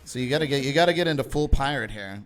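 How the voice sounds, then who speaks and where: A man's voice: mid-range voice, animated, quick pace, soft background music. A man hosting a casual livestream chat, joking around and laughing easily. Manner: jokey, easygoing, laughs often. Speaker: podcast host.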